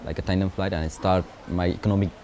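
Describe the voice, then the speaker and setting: Halting Nepali-accented man, low voice. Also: little pitch movement, warm tone, slight background noise. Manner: halting non-native English with many restarts. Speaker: paragliding pilot. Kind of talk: interview, recounting his life.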